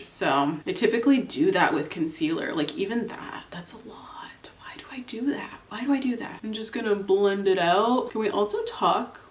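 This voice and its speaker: A woman's voice: lowish voice, roomy sound. A young woman from Canada, congested and nasal from a cold, chatting casually while she does her makeup. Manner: chatty, rambling, filler-heavy. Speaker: beauty vlogger.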